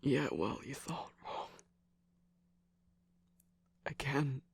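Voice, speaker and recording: A man playing a guarded, sarcastic trickster villain in an ASMR romance roleplay, speaking haltingly in a breathy, husky whisper. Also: mid-range voice, animated, exaggerated voice. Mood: bitter, guarded. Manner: halting, defensive, teasing, with sighs and bitter laughs.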